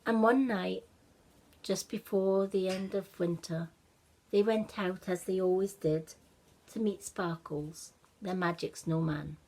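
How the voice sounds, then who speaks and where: A woman storyteller narrating a children's tale in an expressive storytime style. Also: medium pitch, slow pace, poor audio.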